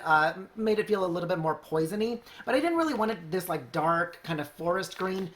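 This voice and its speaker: Chatty rambling home baker, noisy recording. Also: mid-range voice. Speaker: home baker hosting a craft project video. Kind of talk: casual unscripted how-to narration.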